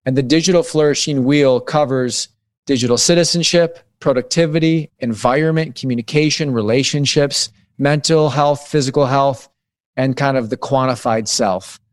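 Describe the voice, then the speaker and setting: A man's voice: mid-range voice, normal speed, no room sound. Reflective man in his thirties, dry sound. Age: 30s. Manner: reflective, thinks aloud with many restarts. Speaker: guest author on digital wellness. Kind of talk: conversational podcast interview.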